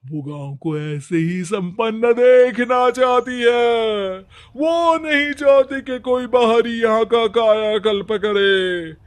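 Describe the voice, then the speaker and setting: Ominous sham holy man for a cartoon folk tale male actor: high-pitched, very slow, theatrical. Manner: drawn-out, theatrical warnings. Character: ominous fake village holy man warning of witches.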